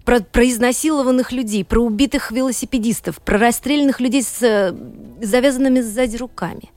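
A woman's voice: on the high side, brisk, a little mellow, no echo, faint music behind. A middle-aged woman from Saint Petersburg, a teacher and economist, talking as a guest in an interview. She tells her story earnestly and with emphasis, with frequent hesitations. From Saint Petersburg, Russia. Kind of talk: studio interview conversation.

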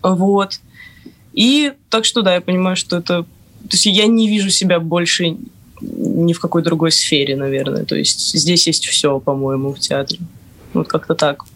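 A woman's voice: fairly low pitch, fairly even intonation, normal speed, fairly bright tone. A young woman student speaking casually as an interview guest, tentative and often trailing off. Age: young adult. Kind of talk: casual personal talk in an interview.

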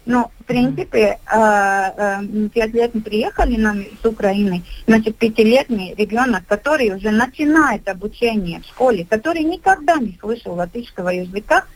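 A middle-aged woman with a somewhat high voice speaks as a kindergarten director in a radio studio. She pauses often and fills the gaps with hesitation sounds as she talks her points through. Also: animated, low-quality recording. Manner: halting, many filler sounds. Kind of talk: radio discussion.

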